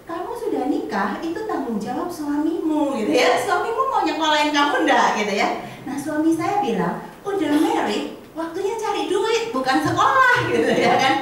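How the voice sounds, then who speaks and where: A woman's voice: high voice, a little hiss or hum. A middle-aged woman, an insurance agent giving a chatty motivational talk to colleagues and laughing often. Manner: chatty, laughs often, addresses audience as friends. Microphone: camera or phone at a distance. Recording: echoey room, far from the mic.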